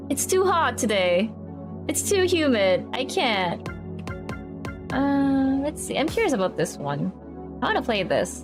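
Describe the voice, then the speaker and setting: Filipina streamer girl, a bit raspy, voice bouncing up and down, game music behind. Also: sits high. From Philippines. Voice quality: slightly raspy. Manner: chatty, giggly, reacting to the game. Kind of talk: reading a visual novel aloud while streaming.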